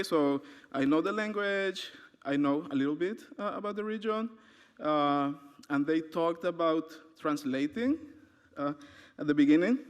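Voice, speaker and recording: Man from Honduras, hesitant presenter. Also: fairly high pitch. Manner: hesitant, frequent "uh" fillers. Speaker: conference presenter. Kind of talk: academic conference talk. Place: conference hall. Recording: long hall reverb.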